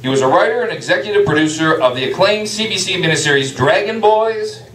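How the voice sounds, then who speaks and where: A man acts as master of ceremonies at a live event, talking in a playful, hyping way. His voice sits a little high and moves around animatedly. He is picked up from a distance in an echoing room. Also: lively intonation, fast talker, fairly bright tone. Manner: playful, jokey hype. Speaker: event host introducing a guest author. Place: indoor venue in a restaurant. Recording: roomy live venue, distant mic, faint noise.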